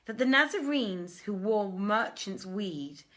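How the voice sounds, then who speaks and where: A woman narrates an old literary tale as a storyteller. Her pace is slow and measured, with a mid-range voice and a formal, dramatic reading style that suits archaic prose. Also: medium pitch, expressive, fairly bright tone.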